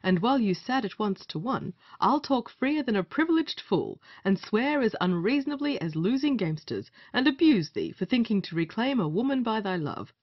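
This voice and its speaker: Lively, wry gallant played by a woman. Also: on the high side, moderate pace, hardly any pauses, no room sound, highs cut off. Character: sardonic male gallant, the rake's teasing companion. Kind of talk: period stage comedy acting.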